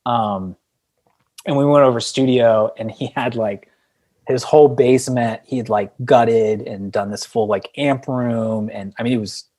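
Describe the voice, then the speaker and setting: A man's voice: mid-range voice, steady tone, unhurried, a little mellow. Man telling band stories casually. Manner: rambling, peppered with like and you know. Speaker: podcast guest, indie band frontman. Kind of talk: casual conversational storytelling.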